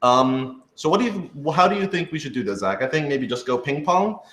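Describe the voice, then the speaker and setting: A man's voice: fairly high pitch, expressive, brisk, a bit bright. Man co-hosting in an echoey room. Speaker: co-host and producer of an audio drama. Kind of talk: casual live Q&A chat. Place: indoor room, on a couch. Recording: echoey, roomy sound.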